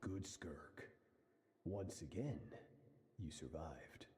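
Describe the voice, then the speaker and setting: Casting: menacing master, video game. Dark voice with sweeping intonation, deliberate and cruel, in an echoing space. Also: male voice, low-pitched, lively intonation, exaggerated voice. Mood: grim, intense. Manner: slow, deliberate, cruel, commanding, often dropping to a whisper. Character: ruthless, menacing male master of a young swordswoman. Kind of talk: dramatic video game voice acting. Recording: echoing, faint background noise.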